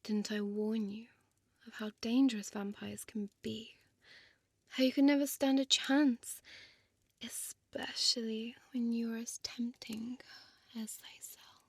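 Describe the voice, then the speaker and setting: A woman's voice: on the high side, fairly even intonation, slow, vocal fry, slightly rough, no room sound, clean studio sound, heavily acted. A woman playing a seductive, menacing female vampire in an intimate audio roleplay, toying with her prey in a teasing half-whisper and archaic wording. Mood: condescending, menacing.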